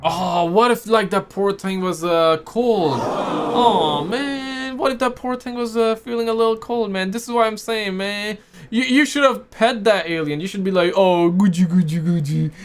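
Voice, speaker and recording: A man from Montreal doing casual, excitable reaction commentary, talking over clips in slang. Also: high-pitched, normal speed, slight background noise. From Montreal, Canada. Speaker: reaction streamer.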